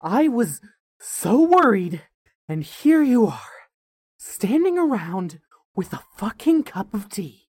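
A woman playing a brash, excitable younger brother in a fan fiction audio drama. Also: mid-range voice, takes it slowly, slightly rough, dry recording, heavily acted. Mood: frantic, indignant.

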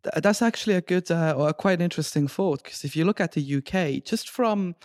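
A man's voice: on the high side, brisk, darkish tone, no room sound, clean studio sound. A man co-hosting a podcast, chatting casually in a loose, meandering, informal way. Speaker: podcast co-host. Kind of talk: casual chat.

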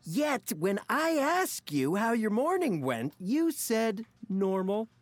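Comedic audio drama: cynical, melodramatic office best friend, foul-mouthed and needling, very animated intonation. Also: male voice, high-pitched, takes it slowly, dry recording, exaggerated voice. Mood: incredulous. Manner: sardonic, melodramatic, profane.

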